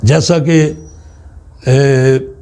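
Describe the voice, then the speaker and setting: A man with a Pakistani accent giving a religious teaching talk as a spiritual guide, in deliberate, halting phrases with pauses. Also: medium pitch, steady tone, a little mellow, clear, resonant tone.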